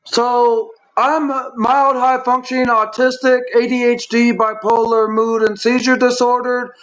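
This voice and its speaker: Very high-pitched, stuttering male guest. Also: flat delivery, a bit bright. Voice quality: stuttering speech impairment. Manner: halting, repetitive, long-winded. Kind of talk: personal explanation on a talk show. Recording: dull, lo-fi stream audio.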